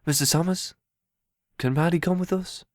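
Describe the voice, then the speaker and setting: A man playing a gentle, childlike, simple-minded young man in an audio drama, speaking in an earnest, polite way. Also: a little high, moderate pace, no room sound, clean studio sound, close to an everyday voice. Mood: hopeful, pleading.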